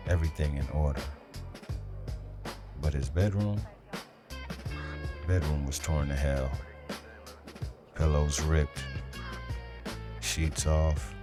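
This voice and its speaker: Very deep, slow noir detective. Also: male voice, full character voice. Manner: brooding, terse, deliberate. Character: hard-boiled noir police detective narrating his case. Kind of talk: noir audio drama narration. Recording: loud music bed behind the voice.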